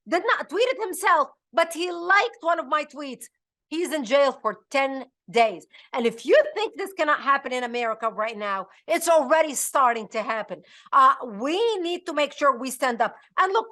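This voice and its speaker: A woman's voice: high-pitched, sharp tone. A middle-aged woman from Lebanon, hosting a political advocacy show in an urgent, emphatic way. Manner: emphatic, urgent, with frequent restarts. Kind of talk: impassioned political talk.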